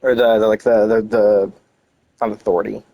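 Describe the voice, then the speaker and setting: A man's voice: fairly low pitch, little pitch movement, moderate pace, creaky, highs cut off. A man giving casual, informal commentary on a video game as he plays, rambling and searching for words. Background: game music.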